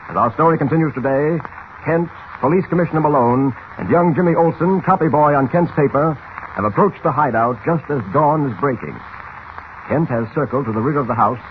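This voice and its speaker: A man narrating a scripted 1940s radio serial as announcer, dramatic and declamatory. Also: on the high side. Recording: tinny old radio recording, faint noise.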